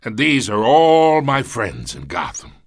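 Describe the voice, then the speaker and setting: An actor playing a smug, menacing middle-aged crime boss in a video game, with a streetwise, slangy, taunting delivery. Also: male voice, medium pitch, wildly swooping pitch, measured pace, dry recording, clean studio sound, full character voice. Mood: persuasive, threatening.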